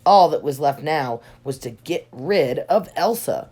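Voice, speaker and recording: Expressive, unhurried woman reading a storybook. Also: low-pitched. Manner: dramatic emphasis on key words. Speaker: storybook reader. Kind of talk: expressive scripted children's storybook narration. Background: soft music.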